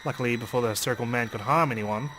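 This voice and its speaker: Guy doing let's play narration, mid-range voice, dramatic reading, soft music behind. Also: medium pitch, racing. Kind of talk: dramatic reading and commentary on a visual novel.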